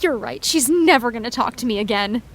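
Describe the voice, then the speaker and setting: A woman voicing an anxious, guilt-ridden teenage girl in an audio drama, talking in a lively, emotional way. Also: a little high. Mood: upset. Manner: lively, emotional, quick to protest.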